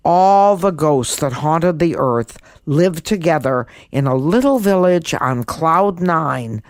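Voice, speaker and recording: A woman in her eighties speaks in a deliberate, emphatic manner, as if instructing her listeners. Her voice is captured close and dry, with no room around it. She presents like a seasoned podcast host. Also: fairly low pitch, no echo. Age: 80s. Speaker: guest podcast host, comedian and author. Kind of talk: podcast monologue with writing tips and story reading.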